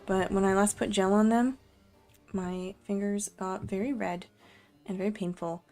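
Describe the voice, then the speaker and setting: A young woman talks her way through setting up an art stream, chatty and a little self-deprecating. Her pitch sits right in the middle for a woman. Faint music plays underneath. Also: fairly even intonation, a little slow, darkish tone. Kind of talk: casual live-stream chat. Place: home room in Japan.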